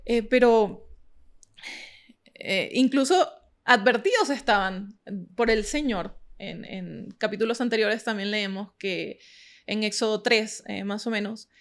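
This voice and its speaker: A woman's voice: mid-range voice, a little slow. A woman from Ecuador who is a guest in a scripture study discussion, speaking in a friendly, hesitant way with many fillers.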